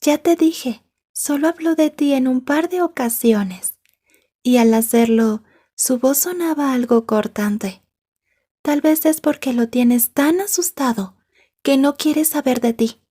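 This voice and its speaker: A woman playing a sweet, bookish schoolgirl girlfriend with a possessive edge, soft-spoken and breathy, in an ASMR roleplay. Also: sits high, slow, heavily acted. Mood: firm, coolly possessive. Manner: soft-spoken, dropping into whispers. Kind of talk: ASMR roleplay acting in Spanish.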